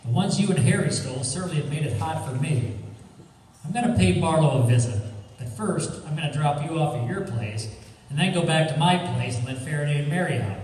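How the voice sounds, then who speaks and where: A man's voice: on the high side, expressive, brisk, a little mellow, lightly acted. Man acting a wisecracking sleuth in echoey hall. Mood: determined. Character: confident, wisecracking male amateur sleuth. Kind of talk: old-time radio crime drama acting. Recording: echoey hall, distant mic, faint noise.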